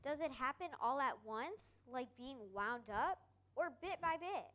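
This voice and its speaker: A woman's voice: high voice, expressive, unhurried, pushed and bright, a little hiss or hum, full character voice. A woman playing a timid, curious young toy rabbit in a storybook reading, asking in a wondering way. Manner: wondering, questioning. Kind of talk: reader's theater storybook reading.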